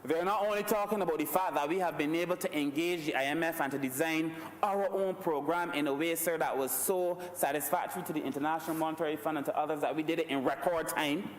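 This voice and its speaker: Barbadian man, high voice, parliamentary speech, rhetorical, echoey hall, faint hum. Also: steady tone, quick pace, hardly any pauses. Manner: rhetorical, repeatedly addressing the Speaker as sir. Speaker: member of parliament. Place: large parliament chamber. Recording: echoey chamber, distant mic.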